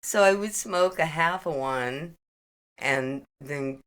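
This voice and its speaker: A middle-aged woman with a low voice that still has a bright edge. She takes her time, reminiscing casually and haltingly as a podcast guest, with an informal, giggly manner. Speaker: podcast guest, a host's mother.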